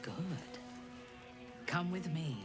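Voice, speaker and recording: A domineering warrior woman in a fantasy game. She gives seductive commands slowly, with a widely moving pitch. Music runs underneath. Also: animated. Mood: commanding, seductive. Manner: measured, imperious commands. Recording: music behind the voice.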